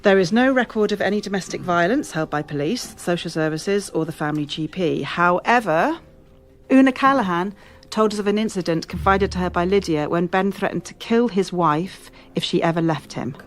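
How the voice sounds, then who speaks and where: An actress playing a brisk, authoritative police officer in a radio drama, speaking in a measured, official manner. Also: female voice, fairly low pitch, close to an everyday voice. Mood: brisk, formal. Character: brisk, authoritative female police officer leading a case briefing. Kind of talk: formal police briefing, radio drama acting.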